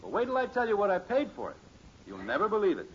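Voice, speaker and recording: A man's voice: high-pitched, huge pitch swings, quick pace, breathy edge, heavily acted. An actor playing a patient, reasonable middle-aged American father in a radio sitcom, with a folksy, emphatic way of reasoning. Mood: proud, pleased. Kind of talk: 1950s radio sitcom acting. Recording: muffled, noisy old radio recording.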